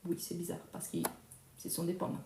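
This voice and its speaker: A young woman whose first language is Brazilian Portuguese, teaching a live French lesson slowly and repeating phrases for learners. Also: reverberant, background noise.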